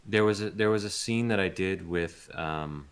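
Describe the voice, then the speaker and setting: A man being interviewed as a voice actor, chatting casually and hesitantly, thinking aloud with pauses. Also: low voice, clean tone.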